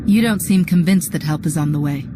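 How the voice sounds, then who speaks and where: A woman's voice: fairly low pitch, fairly quick, noisy background, lightly acted. Woman as composed female Jedi commander. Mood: composed, resolute. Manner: calm, earnest, formal. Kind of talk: video game cutscene acting. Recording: music under the voice.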